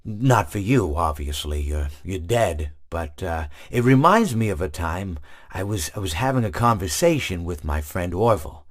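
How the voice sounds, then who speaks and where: An actor playing a friendly, long-winded animatronic hippo who rambles through meandering stories, full of restarts. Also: male voice, low voice, big pitch swings, moderate pace. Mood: rambling.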